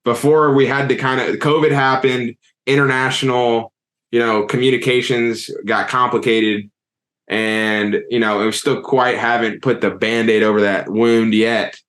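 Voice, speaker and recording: A man hosting a casual podcast, loose and excited, with lots of likes and you-knows. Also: medium pitch, a little slow. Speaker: podcast host.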